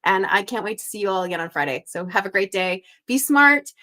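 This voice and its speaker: A woman's voice: mid-range voice, expressive, fairly quick, sharp tone. A woman from Canada hosting a live crossword stream, reading out viewer guesses in an upbeat, chatty way and thinking aloud. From Canada (Montreal).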